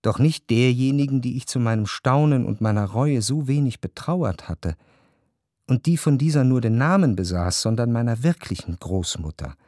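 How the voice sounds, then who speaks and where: An actor playing a reflective, grieving narrator in a literary radio drama, with measured, introspective and deliberate phrasing. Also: male voice, mid-range voice, lively intonation, warm tone, close to an everyday voice. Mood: moved, tender. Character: reflective, grieving male narrator remembering his grandmother.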